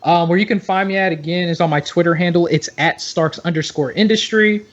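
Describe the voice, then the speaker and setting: A man's voice: high voice, a little fast, highs cut off. A man co-hosting a fantasy basketball podcast in casual banter, rambling and emphatic, often repeating himself for effect. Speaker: podcast co-host.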